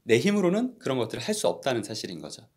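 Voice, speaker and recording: Male co-host, earnest and explanatory, animated pitch, mid-range voice. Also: rapid-fire, breathy edge. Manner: earnest, explanatory, lively intonation. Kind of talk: reflective book discussion.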